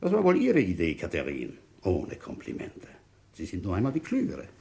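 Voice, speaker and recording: A man playing a shrewd, wry village police inspector in a radio play, deliberate and brooding as he tells his case. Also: low-pitched, huge pitch swings, vocal fry, darkish tone, husky, full character voice. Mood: coolly accusing. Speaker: narrator and lead actor. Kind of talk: radio play, first-person detective narration.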